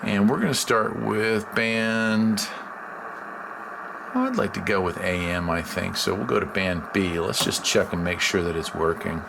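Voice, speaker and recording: A man giving a casual hands-on demonstration as a hobbyist, unhurried and thinking aloud. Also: low-pitched, expressive. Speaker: radio hobbyist presenter. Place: indoors beside a shortwave receiver. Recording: clear background noise, radio static.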